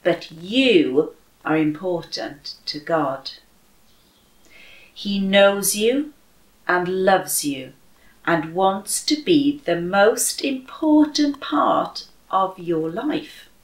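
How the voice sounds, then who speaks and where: Slow-paced woman in an echoey room. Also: on the low side, animated. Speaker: children's church story reader. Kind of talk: slow expressive read-aloud and prayer for children. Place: bright room at home by a window, indoors. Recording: roomy echo.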